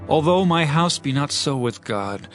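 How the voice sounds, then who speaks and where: A man's voice: a little high, expressive, unhurried, soft background music. A man playing an aging, devout psalmist king in a dramatized scripture reading, declaiming with solemn reverence. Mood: solemn, reflective.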